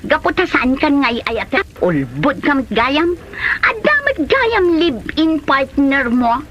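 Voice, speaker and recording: A woman acts out an indignant, accusing woman in a radio comedy drama. Her voice is high and very animated. The sound is slightly muffled and lo-fi. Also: takes it slowly, slight background noise, exaggerated voice. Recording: dull lo-fi audio with music behind.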